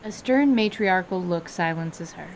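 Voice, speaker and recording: A woman's voice: fairly low pitch, unhurried, a little hiss or hum, rough recording. A woman reading scripted story narration as the narrator of an audio drama. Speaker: narrator and voice actor.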